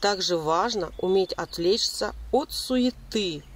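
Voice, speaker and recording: A woman reading a scripted spiritual self-help voice-over in a measured, explanatory manner with deliberate pauses. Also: medium pitch. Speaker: narrator giving spiritual self-help advice.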